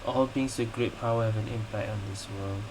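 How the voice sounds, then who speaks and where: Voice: mid-range voice, steady tone, fairly quick, noisy background. A gaming streamer giving casual playthrough commentary, reacting to the game and repeating its dialogue aloud. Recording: loud game music behind voice. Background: game music.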